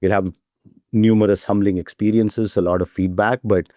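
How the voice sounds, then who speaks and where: Man, lowish mellow voice, dry sound, keen startup guest who keeps checking in with "right?". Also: flat delivery, normal speed, dry recording. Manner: enthusiastic, repeats "right?" as a tag. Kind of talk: casual interview talk.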